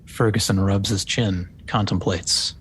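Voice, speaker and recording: Male narrator, lowish register, scripted stage-direction read, light rumbling noise. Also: fairly even intonation, a little slow, fairly bright tone. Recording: faint low rumbling noise.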